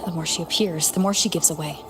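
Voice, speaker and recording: Woman voicing a thoughtful young game heroine, kinda low voice, perceptive and composed, music playing. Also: steady tone, quick pace, lightly acted, poor audio. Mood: pensive, resolute. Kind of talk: scripted game dialogue.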